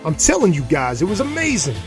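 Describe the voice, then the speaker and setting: An actor playing a brawny middle-aged gang enforcer and reformed drinker, talking in a folksy, swaggering way in an audio drama. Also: male voice, on the high side, wildly swooping pitch, a little fast, faint music behind. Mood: proud.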